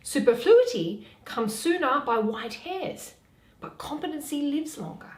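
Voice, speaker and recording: A woman acts out a loyal waiting-maid from classical theatre, sensible and gently advising. The voice is high and lilting, with slow, measured phrasing in an echoey room. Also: lively intonation. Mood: reasoning. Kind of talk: theatrical Shakespeare reading.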